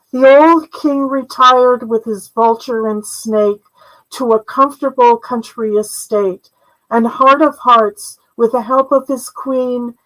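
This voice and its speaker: An older woman narrates a storybook tale in a fairly high voice. She takes her time, reading gently and in a measured way, phrase by phrase. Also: low-quality recording. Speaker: author reading own story. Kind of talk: storybook narration read aloud.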